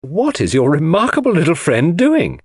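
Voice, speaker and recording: An amused, candid lady in a classic audiobook mystery performed by a male narrator. She questions and exclaims in a raised, very animated pitch. The sound is close and dry. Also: moderate pace, slightly rough, dry recording, full character voice. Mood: amused, puzzled.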